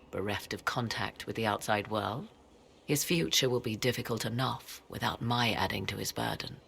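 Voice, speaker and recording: An actress playing a proud, aloof sorceress in a video game, speaking in archaic, formal, measured phrases. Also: female voice, very deep voice, gravelly, fairly bright tone, exaggerated voice. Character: proud, aloof, cryptic sorceress and devoted mother. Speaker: sorceress advisor. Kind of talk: dramatic video game acting.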